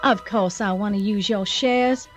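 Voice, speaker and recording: An actress playing a proud, scheming fiancée in an audio soap opera. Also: female voice, mid-range voice, unhurried, soft background music, lightly acted. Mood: cutting, wounded.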